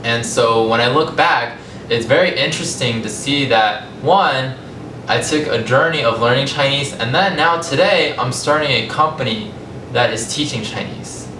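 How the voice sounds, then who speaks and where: Young male presenter, American accent, conversational storytelling with measured pauses; roomy, noisy recording. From California, United States. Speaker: language company founder giving a talk. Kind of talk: public talk, personal storytelling. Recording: echoey, distant mic, rumbling noisy room.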